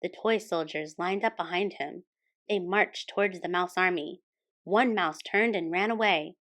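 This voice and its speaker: A woman hosting a storytime and reading a children's picture book aloud as expressive scripted narration. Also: lowish voice, measured pace. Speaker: storytime host and reader.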